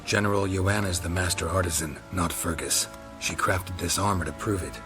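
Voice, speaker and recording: A man playing a laconic, world-weary monster hunter in a video game, deadpan and clipped. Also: low voice, moderate pace, music in the background, lightly acted. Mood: dry. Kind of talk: video game character acting.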